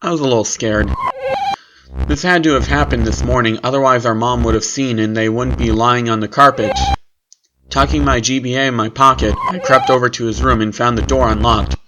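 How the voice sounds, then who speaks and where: A man narrates a scripted horror story in the first person at a medium pitch. The recording has clear background noise and clicks. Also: steady tone, brisk.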